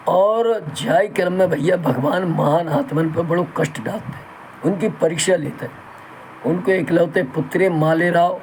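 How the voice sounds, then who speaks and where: A man speaks slowly and haltingly through a sore throat, his voice sitting a little high. His manner is folksy and reverent, and he addresses his listeners as brothers. A faint hiss of background noise runs under his talk. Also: a little mellow, low-quality recording. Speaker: channel host. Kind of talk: talk in Hindi with Bundeli dialect.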